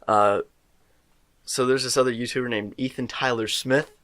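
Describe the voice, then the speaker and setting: A man's voice: mid-range voice, fairly even intonation, moderate pace, fairly bright tone. Teen guy hosting a podcast, chatty, lots of ums and restarts. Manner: rambling, with many fillers and restarts. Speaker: podcast co-host. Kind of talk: casual podcast chat.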